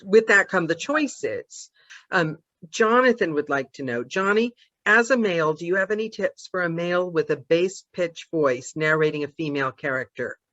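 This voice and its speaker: A woman co-hosting a casual panel chat, animated and emphatic, laughing easily. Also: on the low side, moderate pace, muffled recording. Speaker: co-host.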